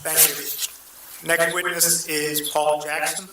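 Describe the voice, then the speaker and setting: Slow, echoey man calling witnesses. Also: a little high, flat delivery, pushed and bright, slight background noise. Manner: slow, friendly, procedural. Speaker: hearing chair calling witnesses.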